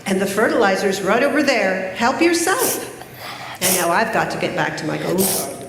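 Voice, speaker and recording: A woman's voice: mid-range voice, very animated, moderate pace, gravelly. A woman playing a wry, generous village witch who gardens, in an amateur readers theater. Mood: brisk, generous. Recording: echoey hall, noticeable room noise.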